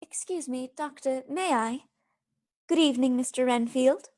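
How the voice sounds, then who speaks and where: Actress as a kind steadfast young wife, high voice, formal and reassuring, radio drama lady. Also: moderate pace, mellow, natural voice. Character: young married woman, compassionate, steadfast, well-mannered. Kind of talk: radio play acting.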